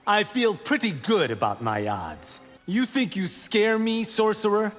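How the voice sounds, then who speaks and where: An actor playing a confident young monk warrior in a video game, boastful and challenging. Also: male voice, high voice, animated, a little slow. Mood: brash, defiant. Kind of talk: dramatic video game acting. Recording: long hall reverb, music underneath.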